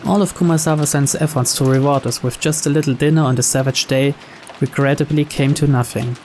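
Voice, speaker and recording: A man reading scripted visual novel narration aloud in a measured storyteller's manner. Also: mid-range voice, fairly even intonation, darkish tone, slight background noise.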